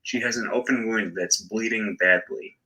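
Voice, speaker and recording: A man narrating a learner story slowly and very clearly, in his native English. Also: lowish voice, sharp tone, rough recording. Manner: slow, deliberately clear. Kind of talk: slow audio story reading for learners.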